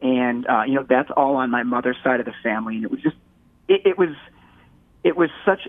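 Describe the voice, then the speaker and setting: A man's voice: medium pitch, a little fast, dry recording. Man on a tinny phone line. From Metro Detroit. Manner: rambling, many restarts and repeated words. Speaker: guest. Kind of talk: casual interview chat.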